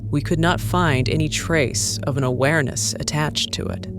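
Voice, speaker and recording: A man's voice: fairly high pitch, normal speed, lightly acted. A man playing a kind nurse in an audio drama, with formal, clinical phrasing. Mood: solemn, gentle.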